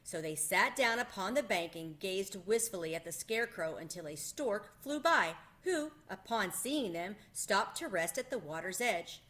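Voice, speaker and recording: A woman with a bright, forward voice reads a children's chapter book aloud. Her register is medium and her storytelling expressive. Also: mid-range voice, normal speed, hardly any pauses. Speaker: read-aloud host.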